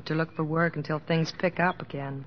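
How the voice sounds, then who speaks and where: An actress playing a devoted, gently concerned American wife in an old-time radio drama, tender and earnest. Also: female voice, deep voice, fairly even intonation, fairly quick, slight background noise, natural voice. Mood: gentle, concerned. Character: devoted, gently concerned wife telling her husband's story. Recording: muffled old radio recording with music.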